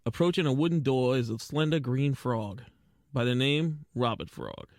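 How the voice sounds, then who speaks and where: A man's voice: mid-range voice, expressive, normal speed, darkish tone, no echo, clean studio sound, lightly acted. A man playing a self-doubting, streetwise penguin detective in a radio drama, with American accent and slangy tough-guy talk in an old-time style. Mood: wry narration. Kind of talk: 1940s radio detective acting and narration.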